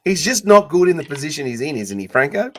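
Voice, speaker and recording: A man with an Australian accent hosting a casual sports podcast, with quick bursts of enthusiasm when he greets guests. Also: a little high, sing-song, a bit bright. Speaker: podcast host.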